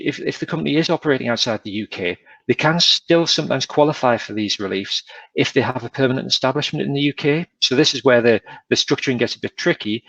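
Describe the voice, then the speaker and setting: A man of medium pitch walks through rules methodically as a panel expert. His sound is dull and dry, like an ordinary video-call line. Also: a bit bright, no room sound. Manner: methodical, explains step by step. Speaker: tax adviser panelist.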